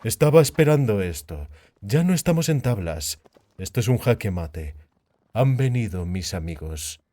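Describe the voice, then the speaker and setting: A man's voice: on the low side, sing-song, normal speed, dark tone. A man voicing a venomous, mocking half-elf thug in a dramatized fantasy audiobook. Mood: smug threat. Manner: menacing, mocking.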